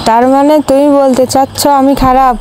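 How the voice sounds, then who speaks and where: A woman playing a sassy, sharp-tongued young wife in a comic cartoon, with dramatic delivery. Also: high voice, flat delivery, brisk, loud music behind the voice, close to an everyday voice. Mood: indignant. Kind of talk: comic Bengali cartoon dialogue.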